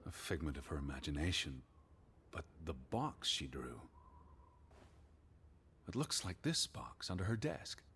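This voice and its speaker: Deep-voiced, sceptical American scholar. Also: male voice, big pitch swings, fairly bright tone, a little hiss or hum, exaggerated voice. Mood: pondering. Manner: measured, formal, pedantic. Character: educated, sceptical, dismissive American male naturalist of the 1920s. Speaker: voice actor in a video game. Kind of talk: scripted game dialogue and reading aloud.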